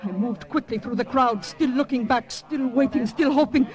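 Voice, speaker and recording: A woman's voice: high-pitched, a little mellow, lo-fi sound, lightly acted. An actress playing a devoted, anxious wartime woman agent in an earnest, dramatic old-time radio style. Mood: sorrowful. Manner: earnest, dramatic, deliberate phrasing. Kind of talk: 1940s-50s radio drama acting.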